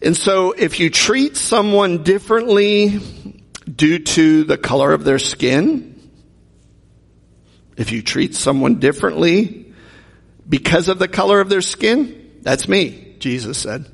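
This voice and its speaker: A man's voice: sits high, expressive, takes it slowly, vocal fry, pauses often. A man preaching a sermon in a conversational way, asking the congregation rhetorical questions. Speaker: pastor. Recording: long hall reverb.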